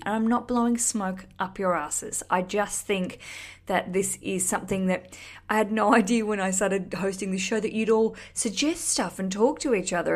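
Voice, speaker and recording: Woman podcast host just chatting away, super gushy, bit sweary, kinda rambles. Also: mid-range voice. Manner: effusive, cheeky, sweary, rambling. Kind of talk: casual, chatty podcast monologue to listeners.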